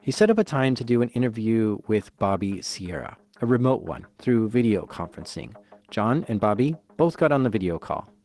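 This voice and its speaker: A man hosting a podcast, narrating the story in a clear explanatory way and asking short follow-up questions. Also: fairly low pitch, lively intonation, moderate pace, darkish tone.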